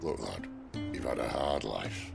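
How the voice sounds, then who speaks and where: An older actor with a Northern English accent playing a gruff, blunt, fatherly mentor in a cinematic game. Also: rumbling low, a little slow, heavily acted. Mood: grave, fatherly. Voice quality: gravelly. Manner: blunt, colloquial. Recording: music behind the voice. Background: music.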